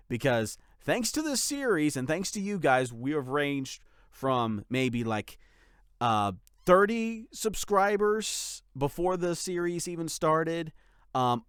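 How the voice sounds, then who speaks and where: A man giving a casual update to his followers as a channel creator, speaking in a gushing, grateful, informal way with a clear, smooth voice. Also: medium pitch, no room sound, clean studio sound.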